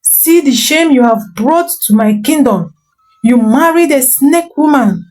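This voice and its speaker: A woman's voice: high-pitched, expressive, unhurried, darkish tone, faint music behind, voice pushed up, low-quality recording. A woman voicing a stern, angry king who scolds his son reproachfully. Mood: scolding.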